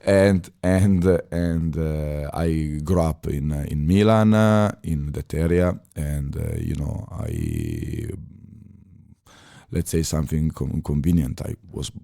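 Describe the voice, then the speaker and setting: A deep, mellow-voiced Italian man in his thirties talks as a podcast guest. His English is halting and filled with pauses and restarts, but he speaks firmly. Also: creaky. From Italy. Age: 30s. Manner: halting non-native phrasing with many fillers and restarts. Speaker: podcast guest, former chef. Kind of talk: casual interview talk.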